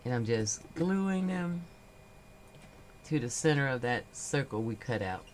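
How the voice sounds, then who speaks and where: A woman with a slightly nasal, stuffed-up voice from a cold, chatting slowly and unhurriedly as she crafts on a livestream. She narrates each small step while she works, with a faint hum of noise in the background. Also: a bass voice, animated, a little mellow. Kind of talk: relaxed crafting livestream chat.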